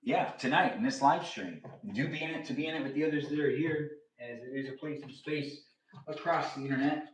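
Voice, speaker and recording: A playful man in his thirties talks through a home livestream, rambling and breaking into sing-song asides as he works. He sits at a middle pitch and stands back from the camera mic. His voice bounces around a roomy kitchen. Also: fairly quick, slight background noise. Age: 30s. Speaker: livestream host. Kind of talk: casual livestream chat. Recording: roomy, distant camera audio.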